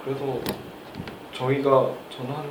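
A man's voice: medium pitch, level tone, a little slow. A young man appearing as a podcast guest, telling stories in an easygoing, casual way. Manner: easygoing storyteller. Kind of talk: casual group chat. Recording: roomy, distant mic, noisy.

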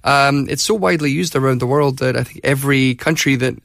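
A man's voice: medium pitch, a little fast, gravelly, fairly bright tone, no echo, clean studio sound. A man from Scotland with a Scottish accent, co-hosting a radio show in casual, good-humoured chat. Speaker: radio co-host.

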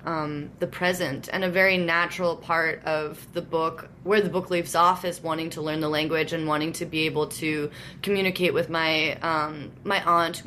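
Reflective woman guest, slightly low voice. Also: slight background noise. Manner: reflective, soft, with frequent pauses and fillers. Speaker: guest, musician and author. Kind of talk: conversational interview.

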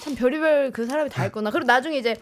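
A woman's voice: high-pitched, very fast. A young woman co-hosting a podcast, telling a story in casual chat, laughing often and acting out the lines of the people in it. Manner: animated, laughs often, acts out quoted lines. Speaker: podcast co-host.

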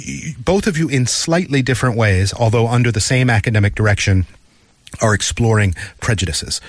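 A man's voice: fairly low pitch, breathy edge, dry recording. Chatty male radio host. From Canada. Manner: chatty, thinks aloud with restarts. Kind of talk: radio talk show interview.

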